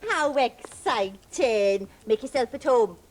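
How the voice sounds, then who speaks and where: A woman's voice: on the high side, animated, slow. A woman acting a bubbly, easily thrilled, gushing host in a children's show. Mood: excited, gushing. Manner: exclamatory, gushing.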